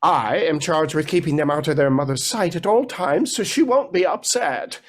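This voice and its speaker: A man's voice: fairly high pitch, animated, moderate pace. A man acting a harried, henpecked lord and father in a fairy-tale stage play, flustered and theatrical.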